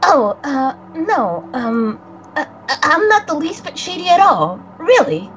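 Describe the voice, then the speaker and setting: A woman's voice: high voice, very animated, a little slow, fairly bright tone, highs cut off, slight background noise, exaggerated voice. A woman voicing a flustered young boy spirit. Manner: stammering, flustered, self-incriminating. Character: nervous young boy spirit, guilty and awkward. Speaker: guest voice actor on a game stream.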